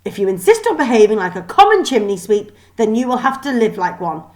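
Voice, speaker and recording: A woman's voice: medium pitch, very animated, brisk, darkish tone, voice pushed up, close to an everyday voice. A woman voicing a stern older schoolmistress in a children's storybook, scolding, imperious and clipped. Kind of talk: children's storybook read aloud.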